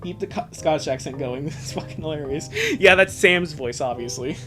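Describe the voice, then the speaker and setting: A man's voice: fairly high pitch, fairly quick, over music. A man streaming casually, giggly and joking, often breaking into laughter and mild swearing while he reads and comments. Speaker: streamer reading a fan comic aloud. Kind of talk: casual live stream chat and joking live reading.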